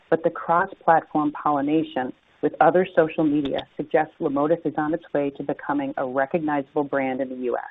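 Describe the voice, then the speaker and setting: A woman's voice: low voice, no room sound. A woman giving a formal, scripted earnings presentation as a company executive over a conference phone line. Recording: dry, tinny narrowband phone line.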